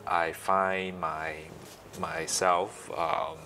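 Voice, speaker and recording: A man in his late thirties with a Malaysian accent, speaking haltingly and pausing often in a conversational interview as the guest. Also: deep voice, little pitch movement, pushed and bright, a little hiss or hum. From Malaysia, east coast. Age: late 30s.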